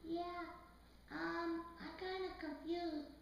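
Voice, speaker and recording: A woman's voice: very high-pitched, steady tone, takes it slowly, gravelly, slight background noise, exaggerated voice. A woman voicing a confused, worried puppet patient in an educational show, with a hesitant, plaintive, slangy way of talking. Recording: very echoey room, far from mic.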